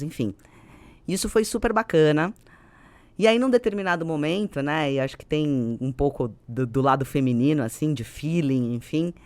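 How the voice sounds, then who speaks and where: Casting: Brazilian female executive as podcast guest, smooth clear voice, dry close sound, conversational storyteller. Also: low voice, dry recording.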